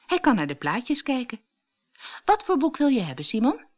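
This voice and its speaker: A woman's voice: sits high, huge pitch swings, normal speed, pitched above the performer's own voice, heavily acted. A helpful, slightly bossy schoolgirl in a storybook, put on by a woman narrator. She talks in a raised, sing-song way with big swoops, as if explaining to a younger child. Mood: helpful, chirpy.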